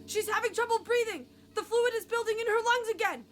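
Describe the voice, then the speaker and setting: A woman's voice: very high voice, a little fast, over music. A woman playing an urgent, commanding hospital doctor in an audio drama, frantic to save a patient.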